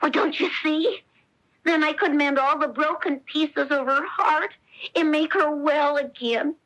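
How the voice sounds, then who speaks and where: A woman's voice: high-pitched, measured pace, fairly bright tone, lo-fi sound. A woman playing a naive, trusting wooden puppet boy in a radio play, speaking in an earnest, childlike way. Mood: grieving. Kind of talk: 1970s radio adventure theater acting.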